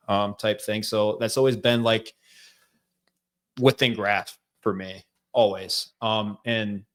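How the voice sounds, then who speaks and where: A man with a Michigan accent, a guest telling his life story in a relaxed, rambling way with lots of fillers. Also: lowish voice. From central Michigan. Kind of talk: casual interview storytelling.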